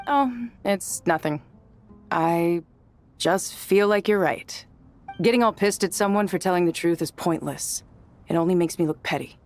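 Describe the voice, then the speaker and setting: A woman's voice: lowish voice, unhurried, faint music behind, lightly acted. An actress playing a blunt teenage girl in a video game, speaking in a casual, plain-spoken way. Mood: subdued, earnest. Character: blunt, once hot-tempered teenage girl, now calmer.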